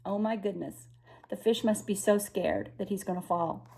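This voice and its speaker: A woman's voice: mid-range voice, fairly even intonation, normal speed, gravelly, a little mellow, slight background noise, rough recording. An older woman from the United States reading a storybook aloud to young children, expressively, with chatty asides.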